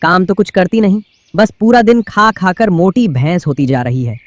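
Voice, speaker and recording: A man's voice: sits high, big pitch swings, normal speed, dry recording. A man voicing a grumbling, henpecked male crow husband in a cartoon. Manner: grumbling, complaining. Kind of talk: cartoon voice acting.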